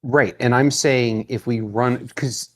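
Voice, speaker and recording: A man's voice: medium pitch, fairly even intonation, takes it slowly. A man playing a tabletop roleplaying game, talking casually through his plans as a player.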